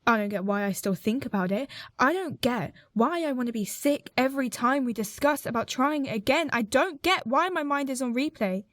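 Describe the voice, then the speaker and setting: An actress playing a hurt, nervous young woman who speaks haltingly in a confessional radio drama monologue. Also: high voice, expressive, dry recording, clean studio sound. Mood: distressed, bewildered. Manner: hesitant, halting.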